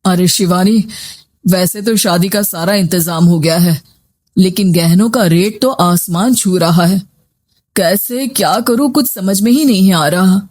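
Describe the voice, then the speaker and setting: A man's voice: high voice, unhurried, darkish tone. A man voicing a troubled, earnest family man in a moral story. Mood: worried. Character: troubled husband or stern father in a family story. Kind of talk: story voice acting.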